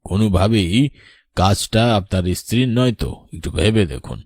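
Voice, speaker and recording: Man playing a grave, low-voiced village elder. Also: expressive, normal speed, darkish tone, husky, full character voice. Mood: firm, serious. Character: grave, knowing older village man guiding youngsters. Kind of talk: horror cartoon dubbing.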